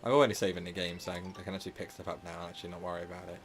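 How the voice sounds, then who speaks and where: A man with a slightly sore, hoarse throat, casually commenting on his live gameplay stream and rambling with lots of filler words and self-corrections. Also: low voice, little pitch movement, rapid-fire, soft background music.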